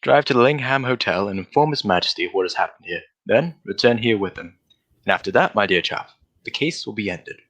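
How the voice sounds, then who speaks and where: An actor playing a shrewd, confident gentleman detective in old-style radio drama, politely explaining himself in an assured manner. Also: on the low side, lively intonation, brisk. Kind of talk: 1940s radio drama acting.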